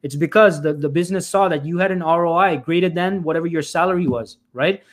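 Guy coaching job seekers on a live stream, high-ish voice, talks fast, keeps repeating points and saying folks. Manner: emphatic, repeats phrases, often says "folks" and "right?"; frequent restarts and filler "uh".

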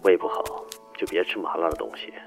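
A man playing a terse, quietly caring caller in an audio drama, speaking in an understated way. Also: low voice, fast talker, creaky. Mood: concerned. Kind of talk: Chinese audio drama acting. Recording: dull muffled phone-call sound, music behind.

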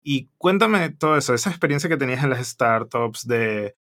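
A man's voice: medium pitch, lively intonation, moderate pace, a bit bright. Guy hosting a tech podcast, kinda hesitant, restarts a lot, casual chat. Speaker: podcast host.

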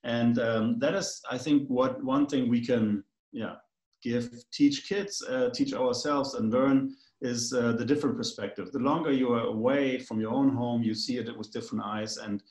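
A man's voice: medium pitch, fairly even intonation, normal speed, a little mellow, reverberant. A man with a German accent, a guest in a casual conversation, talking in a rambling, hesitant way with many fillers.

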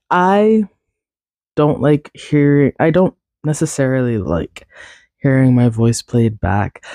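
A young woman hosting a casual solo podcast, rambling and self-deprecating, her voice raspy and congested from a cold. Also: very deep voice, dark tone, no room sound, clean studio sound. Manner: rambling, self-deprecating, many fillers and laughs. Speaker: podcast host.

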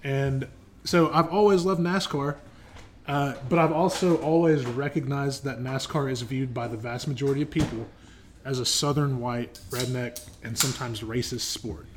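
Male podcast co-host from the United States, average pitch, casual conversational delivery with emphatic, opinionated manner. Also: steady tone, normal speed, a little hiss or hum.

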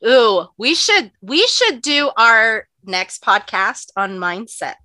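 Chatty woman cohost, bright clear voice, says like a lot, dry close sound. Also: a little high, big pitch swings, slow pace, dry recording. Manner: chatty, lots of "like" and "you know"; laughs often. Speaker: podcast co-host. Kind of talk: casual podcast chat, explaining nutrition.

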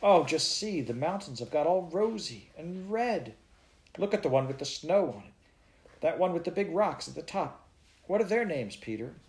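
A man doing an excitable little girl. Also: normal speed, low-quality recording. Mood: excited wonder. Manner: breathless, wondering, raised pitch.

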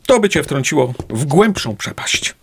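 An actor playing a prudent, smug, moneyed suitor in a radio play. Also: male voice, fairly high pitch, very animated, moderate pace, creaky, slight background noise.

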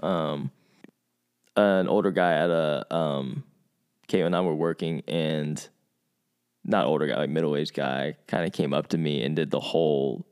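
Deep-voiced man in casual conversation. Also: measured pace, smooth. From United States, grew up in Virginia. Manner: thoughtful, many restarts and asides, animated when quoting. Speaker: podcast co-host.

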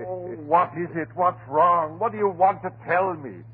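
Nervous householder, vintage tinny radio. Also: male voice, high-pitched, normal speed, slightly rough. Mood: anxious, evasive. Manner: hesitant, clipped, repeats himself anxiously. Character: nervous, evasive, defensive young householder hiding something. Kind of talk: old-time radio drama acting. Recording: noisy, narrow-band vintage radio recording.

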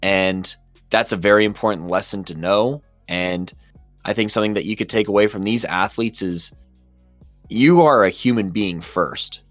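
A man co-hosting a podcast, his voice hoarse, speaking with passion to persuade listeners. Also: lowish voice, normal speed, dry recording, faint music behind. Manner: impassioned, persuasive. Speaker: podcast co-host. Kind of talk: casual opinion talk.

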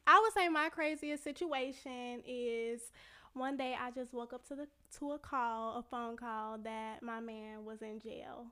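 High-voiced young woman hosting a podcast. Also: smooth. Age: 20s. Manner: lively, laughs often, quick reactions. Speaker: podcast host. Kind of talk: casual podcast chat.

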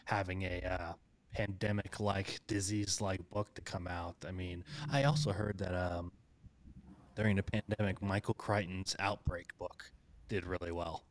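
A man's voice: sits low, steady tone, moderate pace. An American man hosting a casual interview, rambling with many fillers and frequent laughs. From United States. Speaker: podcast host.

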